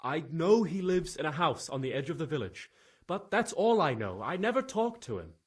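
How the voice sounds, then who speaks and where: A man playing a frightened young stable lad in a game cutscene, stammering and halting, with a husky voice. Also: fairly high pitch, sing-song. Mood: defensive, nervous. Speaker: video game character, witness being questioned. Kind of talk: dramatic game cutscene acting.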